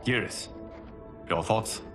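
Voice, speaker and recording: An actor playing a grave, wise leader of warriors in a video game, measured and authoritative. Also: male voice, over music, natural voice. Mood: skeptical.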